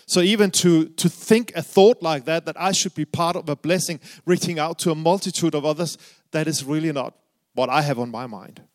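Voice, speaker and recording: Middle-aged Danish man, earnest preacher, slightly raised voice, halting delivery. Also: fairly high pitch. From Denmark. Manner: earnest, halting with fillers and restarts. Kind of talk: sermon.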